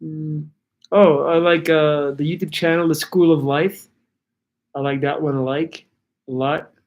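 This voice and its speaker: Halting Belgian-raised man reading chat. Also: on the high side, fairly even intonation. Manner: rambling, halting, many restarts and fillers. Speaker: YouTuber answering live chat. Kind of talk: casual livestream chat.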